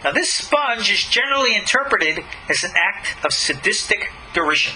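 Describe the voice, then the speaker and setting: A man reading aloud a religious lecture in an emphatic, dramatic, deliberately phrased way. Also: sits high, very animated, pushed and bright, slight background noise, low-quality recording. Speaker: rabbi giving a religious lecture.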